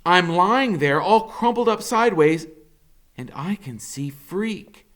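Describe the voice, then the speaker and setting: A man reading a novel aloud as a dramatic first-person narration, expressive and suspenseful. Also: high-pitched, slow pace.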